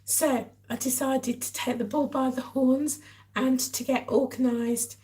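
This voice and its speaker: A woman with a hoarse, croaky voice from a cold, chatting casually to live viewers as a vlog host. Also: sits high, level tone, measured pace, dark tone. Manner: chatty, addresses viewers directly. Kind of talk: casual live-stream chat.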